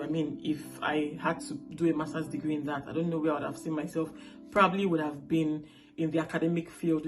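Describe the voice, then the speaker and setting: A woman's voice: low-pitched, poor audio. A woman in her late twenties from Nigeria, with a Nigerian accent and Igbo as her first language, vlogging in a casual, chatty way and checking in with viewers often. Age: late 20s. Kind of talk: casual talk to camera.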